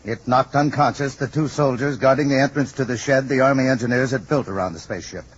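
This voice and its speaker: Muffled like an old broadcast, a man acts a husky-voiced American army colonel in a radio play. He is dutiful and formal, giving his report plainly to a superior. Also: medium pitch, fast, natural voice. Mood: sober reporting. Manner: formal, deferential, matter-of-fact. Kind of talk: old-time American radio drama acting.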